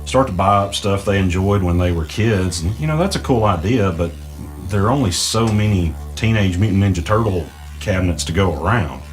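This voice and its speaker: A man's voice: sits low, brisk, soft background music, close to an everyday voice. An actor playing a cautious, skeptical small-town man who speaks in a plain, hesitant, questioning way in naturalistic audio drama dialogue. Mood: curious. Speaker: character in a mystery audio drama.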